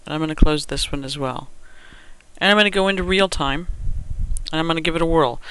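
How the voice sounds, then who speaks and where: A man giving an unscripted step-by-step software tutorial, thinking aloud in a halting way as he works. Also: on the high side, smooth, no room sound, rough recording.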